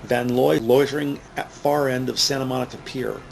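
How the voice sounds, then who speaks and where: A man narrating a scripted crime drama in a measured, matter-of-fact way. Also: medium pitch, fairly even intonation, gravelly. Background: music.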